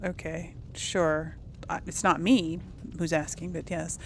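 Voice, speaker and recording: Woman, gaming host, unhurried pace, casual, hesitant self-muttering, faint background noise. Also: sits low, steady tone. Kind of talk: casual game commentary, talking to the audience.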